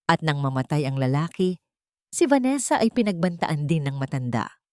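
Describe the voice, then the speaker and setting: Low-voiced woman narrating drama. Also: very animated, moderate pace, no echo, clean studio sound. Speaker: audiobook narrator. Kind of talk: scripted dramatic story narration.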